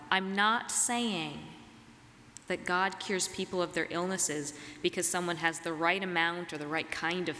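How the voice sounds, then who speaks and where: A woman preacher delivering a reflective, measured sermon, her voice slightly raspy as she gets over a cold. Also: lowish voice, sharp tone. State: getting over a cold and flu. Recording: reverberant hall, clear background noise.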